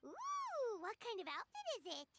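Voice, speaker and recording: A woman voicing a tiny, excitable floating fairy companion in a video game. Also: extremely high pitch, normal speed, music in the background, heavily acted. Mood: excited. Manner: chirpy, excitable. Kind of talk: game voice acting.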